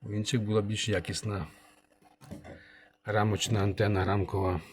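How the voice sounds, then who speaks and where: A man giving a casual hands-on review as a channel host, halting and thinking aloud, muttering remarks to himself. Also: lowish voice, level tone. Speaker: channel host, radio repairer.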